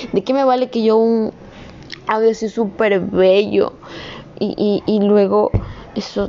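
A woman's voice: medium pitch, warm tone, muffled recording, slight background noise. A young woman, a communications student, talking in a rambling, earnest personal vlog that rises into emphatic scolding. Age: young adult.

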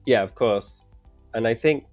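A man from the UK, a podcast guest, talking in an earnest, measured way and searching for words at times. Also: mid-range voice, soft background music. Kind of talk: casual discussion. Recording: dull dry sound.

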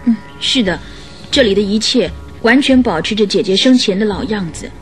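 A woman's voice: mid-range voice, expressive, normal speed, faint music behind, natural voice. An actress playing a polite, earnest young lady in a radio drama, answering carefully and a little hesitantly.

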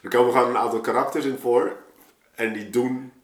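A man's voice: medium pitch, steady tone, fairly quick, far from the mic. A man with a Netherlands Dutch accent, explaining things casually as a guest in conversation. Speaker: guest, poet and performer. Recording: echoey room, slightly off mic.

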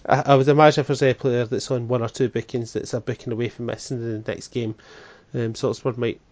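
A man co-hosting a football podcast in a halting, filler-heavy chat. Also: medium pitch, flat delivery, darkish tone, no echo. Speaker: podcast co-host. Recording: dry, dull lo-fi sound.

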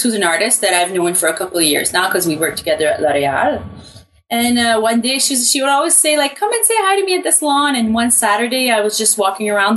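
A woman with a Canadian French accent talks casually as an interview guest. She speaks at a middle pitch in an informal, anecdotal way, as a native French speaker from New Brunswick. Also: a bit bright, rough recording.

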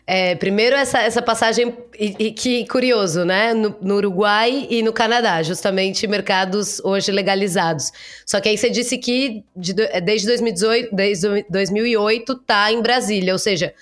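A woman's voice: medium pitch, moderate pace. Brazilian woman hosting a podcast interview. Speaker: podcast host.

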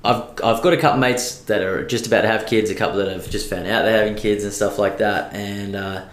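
A man's voice: on the low side, fairly even intonation, a little fast, slight background noise. Laid-back Australian man, roomy echo. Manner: laid-back, sweary, laughing often. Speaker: podcast host. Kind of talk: casual podcast chat.

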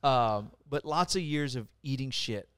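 A man's voice: mid-range voice, unhurried, smooth, no room sound, clean studio sound. A middle-aged man from Puerto Rico, a mortgage lender, talking bluntly and with energy as a podcast guest. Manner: blunt, profane, energetic storytelling. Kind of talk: casual podcast interview. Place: office studio.

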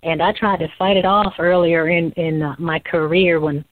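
A woman's voice: on the low side, fairly even intonation, normal speed. A young woman from Central Florida with a light Southern twang, chatting easygoing as a radio show guest. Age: young adult. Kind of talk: casual interview chat. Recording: thin phone line, dry.

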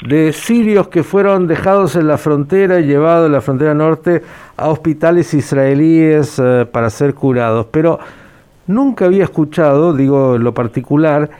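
A man hosting a podcast interview, phrasing his questions in a deliberate, formal way. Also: fairly high pitch, darkish tone, clear, resonant tone.

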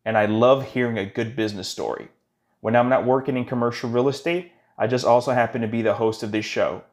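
A man with a medium-pitched voice works as a podcast host. He delivers show introductions and guides an interview with a guest. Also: fairly even intonation, a little fast.

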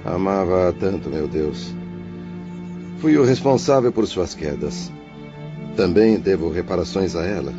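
A man's voice: sits low, expressive, measured pace, long pauses. An actor playing a remorseful male spirit, a grieving father speaking emotionally in a radio drama. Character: remorseful male spirit, a father mourning his past sins. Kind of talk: Portuguese-language radio drama acting. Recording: dull lo-fi radio drama, music behind.

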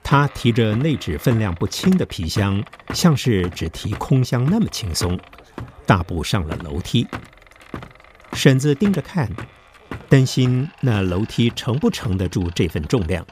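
A man's voice: on the low side, very animated, a little slow, background noise. A man narrating a scripted story in Mandarin as the storyteller of an audio drama. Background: background music.

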